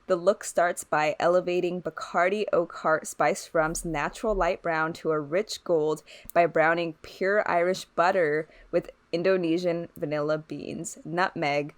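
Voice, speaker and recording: A woman's voice: fairly low pitch, fairly even intonation, measured pace, few pauses. A woman co-hosting a podcast in casual chat among friends, talking informally with plenty of "like". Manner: informal, uses "like" often. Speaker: podcast co-host.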